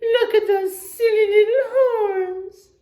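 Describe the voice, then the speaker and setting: Woman acting out loud, scornful storybook jungle animals. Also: extremely high pitch, steady tone, slow, voice pushed up. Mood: scornful teasing. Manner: scornful, exclaiming. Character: vain, mocking jungle animals jeering at a small warthog.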